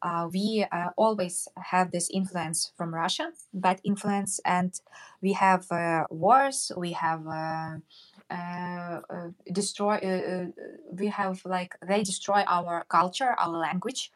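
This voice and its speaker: Young female interview guest, Ukrainian accent, slightly low pitch, halting non-native delivery. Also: steady tone. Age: young adult. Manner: halting, searching for words. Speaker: interview guest, media founder. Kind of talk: interview answers in a second language.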